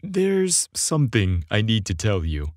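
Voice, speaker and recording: Man reading earnest, hesitant young romance hero, slow-paced. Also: fairly low pitch, wildly swooping pitch, no echo, studio quality. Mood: hesitant, serious. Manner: hesitant, sincere. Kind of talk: audiobook dialogue.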